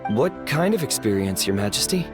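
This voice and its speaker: A man playing an earnest, humble, courteous subject of a monarch in an anime game, speaking sincerely and in measured phrases. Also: lowish voice, very animated. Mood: tentative, hopeful. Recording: music under the voice.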